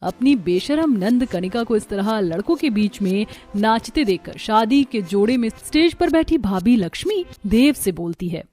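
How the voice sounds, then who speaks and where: A woman's voice: on the high side, expressive, normal speed, few pauses, mellow, soft background music. A woman narrating a cartoon family story as a scripted storyteller.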